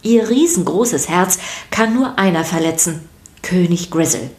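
A woman's voice: on the low side, expressive, unhurried, a little mellow. A woman narrator reading a scripted podcast for children.

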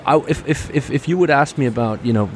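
A man talks about cars at a motor show, stumbling over his words and repeating himself. His pitch is middle of the road. The noise of the busy hall comes through clearly. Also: fast. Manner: hesitant, lots of fillers and repeats. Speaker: car show reviewer. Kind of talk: casual walkaround chat. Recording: noisy show floor.

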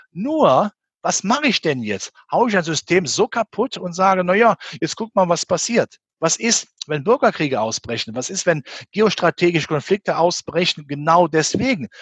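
Male interview guest from the Rhineland: slightly high, rough-edged voice, emphatic, argumentative delivery. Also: fairly bright tone, dry recording. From Rhineland, western Germany near the Belgian and Dutch border. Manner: emphatic, argumentative, full of rhetorical questions. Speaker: interview guest commenting on economics and finance. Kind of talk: animated spoken opinion in an interview.

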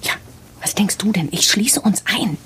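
An actress playing a frightened, anxious young wife in a naturalistic audio drama. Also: female voice, medium pitch, expressive, moderate pace, background noise, close to an everyday voice.

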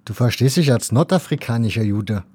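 A man's voice: mid-range voice, expressive, fairly quick, mellow, slightly rough, no echo. A man hosting a podcast, asking questions in a casual conversational style. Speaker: podcast host, interviewer.

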